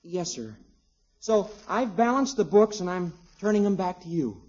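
A man's voice: high-pitched, big pitch swings, moderate pace, darkish tone. An actor playing an earnest, thrifty, preachy young American man in a 1950s radio comedy, speaking politely. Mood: sheepish, earnest. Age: young adult. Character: earnest, thrifty, priggish young man running household budget. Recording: muffled old radio recording.

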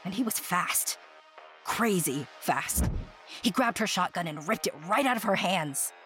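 A woman's voice: fairly low pitch, lively intonation, unhurried, fairly bright tone. A woman playing a brash, candid young friend who narrates wryly and conversationally in a horror-comedy audio drama. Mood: wry, confiding. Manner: conversational, wry. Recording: prominent music underneath.

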